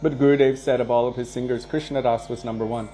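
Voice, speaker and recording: Relaxed man telling devotional stories. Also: mid-range voice, fast, soft background music, poor audio. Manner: relaxed, anecdotal, chuckles now and then. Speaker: kirtan leader and devotional teacher. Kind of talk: casual devotional talk answering viewer questions.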